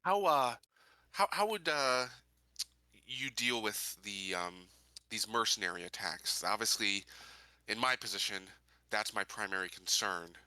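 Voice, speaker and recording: A man playing a tabletop roleplaying game, chatting and improvising questions in a halting, thinking-aloud way. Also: fairly low pitch, lively intonation, sharp tone, no room sound. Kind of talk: casual improvised roleplay chat.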